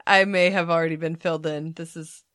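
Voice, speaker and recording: A woman's voice: sits low, steady tone, fairly quick, a bit bright, no room sound, studio quality. A woman co-hosting a podcast in casual chat, playful and laughing, often mimicking others. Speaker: podcast co-host.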